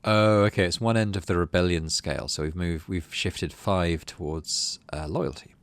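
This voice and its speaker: A man's voice: low voice, fairly even intonation, moderate pace, no room sound. A man hosting a gaming video, reading story text aloud and adding casual, muttered asides to himself. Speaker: gaming channel host.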